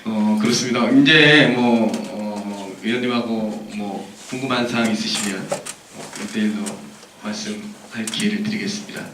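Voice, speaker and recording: A man's voice: lowish voice, steady tone, normal speed, fairly bright tone. A man moderating a Q&A session, talking hesitantly with many fillers. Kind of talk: hosting a Q&A. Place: conference hall. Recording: echoey hall, distant mic, noisy.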